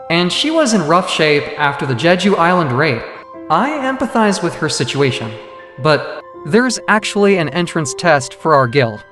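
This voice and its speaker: A man voices a composed, confident young male hunter for a manga dub. His delivery is measured and thoughtful, with animated intonation. The voice rings with long reverb over background music. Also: a little high. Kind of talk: dramatic manga dub narration.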